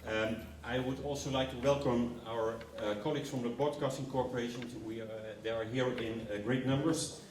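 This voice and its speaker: A man's voice: mid-range voice, steady tone, normal speed, hardly any pauses, background noise. Hesitant man speaking in a roomy hall. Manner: hesitant, many fillers. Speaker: broadcasting executive welcoming guests. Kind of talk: formal welcome speech in English. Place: hall at a contract signing ceremony. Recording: roomy, distant hall sound.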